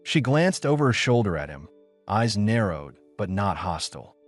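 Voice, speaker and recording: A man's voice: fairly low pitch, big pitch swings, normal speed. A man narrating a scripted story as an audiobook, with music behind him.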